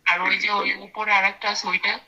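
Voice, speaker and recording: A woman customer asking casual questions over a call, through voice-changer software. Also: medium pitch, flat delivery, fairly quick. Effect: voice-changer software, switched on and off. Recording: dull, lo-fi call audio.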